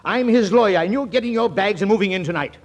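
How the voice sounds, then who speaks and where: A man's voice: high-pitched, fast talker, a little hiss or hum. A man playing a bossy, exasperated lawyer in 1940s radio comedy style. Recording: old 1940s radio broadcast.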